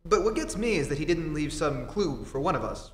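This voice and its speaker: A man playing a steady, authoritative reporter hero in old-time radio drama style, brisk and reassuring. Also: fairly high pitch. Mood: concerned, questioning. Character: steady, take-charge adult male reporter, secret hero. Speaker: amateur theatre actor. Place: theatre stage, live performance. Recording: echoey hall, faint noise.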